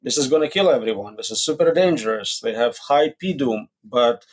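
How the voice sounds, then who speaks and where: A man speaks as the expert guest in a conversational interview, at a middling pitch. He explains things in short, plain statements and leans on simple examples. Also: animated, fairly quick. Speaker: interview guest, AI safety researcher.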